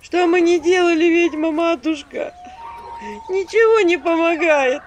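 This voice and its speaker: Shrill, slow lamenting village woman in an audiobook, high and pleading, noisy hiss behind. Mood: wailing. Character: wailing, pleading village woman, middle-aged. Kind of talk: audiobook character voice.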